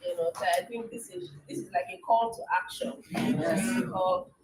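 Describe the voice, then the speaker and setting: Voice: mid-range voice, huge pitch swings, normal speed. Hesitant host taking questions, lots of um and you know, distant, noisy roomy sound. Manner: hesitant, heavy on fillers. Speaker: event moderator. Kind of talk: chairing a Q&A. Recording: echoey room, distant mic, audible noise.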